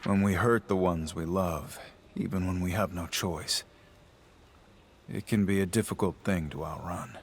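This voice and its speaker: An actor playing a calm, brooding swordsman in a game, restrained and thoughtful in delivery. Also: deep voice, unhurried, gravelly, slight background noise. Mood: calm, sympathetic. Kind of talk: cinematic game acting.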